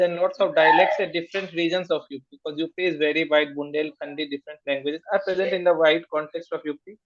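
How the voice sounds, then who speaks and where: Interviewer, long winding question, hesitant, medium pitch, dull line. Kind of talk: asking a long question in a webinar.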